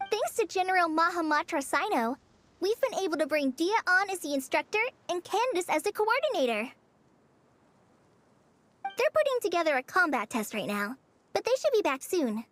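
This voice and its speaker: A woman's voice: extremely high pitch, a bit bright, exaggerated voice. A woman voicing an earnest, polite teenage girl in an anime-style video game, explaining things carefully.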